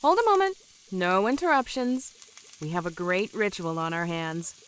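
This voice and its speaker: A woman playing a scatterbrained, passionate alchemist lady in a fantasy audio drama, theatrical and excitable. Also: fairly low pitch, moderate pace, lightly acted. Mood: absorbed, urgent. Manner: theatrical, absent-minded, excitable. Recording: noisy, with sizzling and clicking behind.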